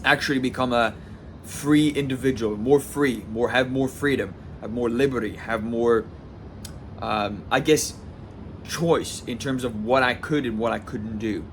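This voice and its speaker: A man's voice: mid-range voice, a little slow, a little hiss or hum. A man in his twenties from southwest France, talking casually to camera in a rambling personal vlog, full of restarts and "like". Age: 20s. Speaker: vlogger.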